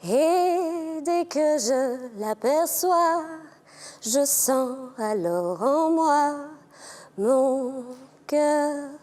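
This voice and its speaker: A woman's voice: sits high, expressive, very slow, a little mellow, soft background music. A woman contestant singing a tender song at an audition.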